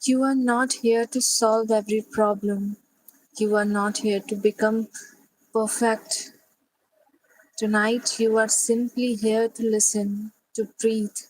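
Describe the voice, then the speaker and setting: A woman guides a sleep meditation at a very slow pace, leaving long pauses between short phrases. Her delivery is gentle and soothing, with very little rise and fall in pitch. The recording is close and dry, with no room sound. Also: little pitch movement, dry recording, poor audio.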